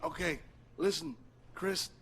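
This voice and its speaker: A man's voice: sits high, slow, very noisy. A man acting as a nervous, defensive, flustered guy being cornered in a video game scene. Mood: frightened pleading. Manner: flustered, pleading.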